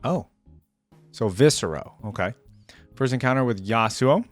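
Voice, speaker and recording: A man's voice: mid-range voice, lively intonation, a little slow, dry recording, soft background music. A man hosting a reaction video, giving casual, slangy, off-the-cuff commentary. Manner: informal, slangy.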